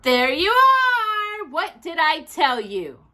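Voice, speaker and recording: Woman voicing a chatty, bright young girl. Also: very high voice, very animated, slow, pitched above the performer's own voice, heavily acted. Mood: eager. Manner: chatty, eager, reassuring.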